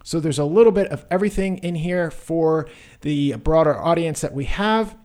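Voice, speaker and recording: A man's voice: on the high side, moderate pace, a little mellow. A man hosting a podcast, opening the show and chatting with his guest in an upbeat, conversational way. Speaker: podcast host.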